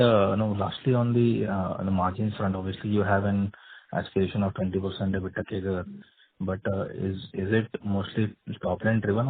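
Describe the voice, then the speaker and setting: A man's voice: low voice, breathy edge. A man, an analyst asking questions on an earnings call in a hesitant, roundabout way. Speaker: financial analyst caller. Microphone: phone call. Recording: muffled narrow phone line.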